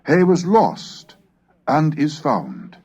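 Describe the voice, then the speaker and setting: A man reading a scripted educational narration in a formal, measured, oratorical manner. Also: fairly high pitch, wildly swooping pitch, a little mellow, breathy edge. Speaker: lecturer and reader. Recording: dull lo-fi cassette recording.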